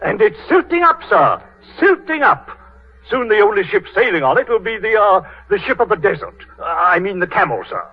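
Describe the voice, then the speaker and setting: An indignant, stubborn old banker, gruff and emphatic. The husky voice leaps around in pitch. It is heard through a tinny, narrow 1930s broadcast. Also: male voice, sing-song, brisk, exaggerated voice. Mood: indignant, blustering. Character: blustering, stubborn, indignant older British bank governor. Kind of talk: 1930s radio drama acting. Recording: tinny, band-limited 1930s radio recording.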